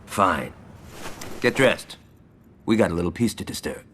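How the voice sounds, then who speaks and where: A man's voice: deep voice, expressive, measured pace, fairly bright tone, slight background noise. An actor playing a brash, trigger-happy male gangster, blunt and sarcastic in his delivery. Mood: grudging.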